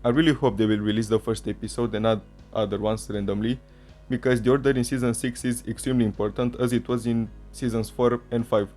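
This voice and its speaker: A man's voice: medium pitch, steady tone, fast, darkish tone, faint music behind. A man whose first language is Romanian, hosting a chatty fan commentary video in English. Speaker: channel host.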